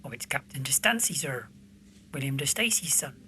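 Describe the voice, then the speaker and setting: A man's voice: mid-range voice, sing-song, moderate pace, bright, forceful tone. A man playing a deferential, gossiping village landlord in a period audio drama. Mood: deferential, gossipy. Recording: faint background noise and ambience.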